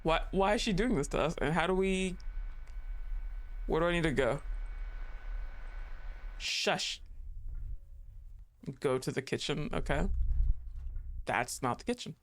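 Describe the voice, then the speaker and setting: A man's voice: fairly high pitch, measured pace, pauses often. Man, game streamer, casual live commentary, swears a lot, jokes with the screen. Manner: casual, joking, swears freely; talks back to the game characters.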